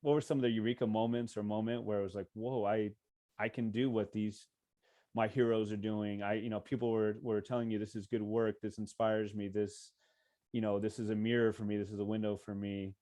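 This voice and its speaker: A man's voice: fairly low pitch, flat delivery, fairly quick, warm tone. Informal American male podcast host. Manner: informal, encouraging. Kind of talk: casual interview.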